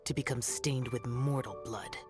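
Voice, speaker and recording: An actor playing an aloof young immortal demon slayer, speaking sternly and formally in a video game. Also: male voice, mid-range voice, fairly even intonation, normal speed, natural voice. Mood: stern, solemn. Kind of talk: video game character acting. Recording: game music behind the voice.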